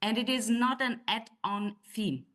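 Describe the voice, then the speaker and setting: A woman's voice: a little high, unhurried, fairly bright tone. A woman with a German accent speaking on a conference panel, often restarting and searching for words in English. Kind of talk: panel discussion.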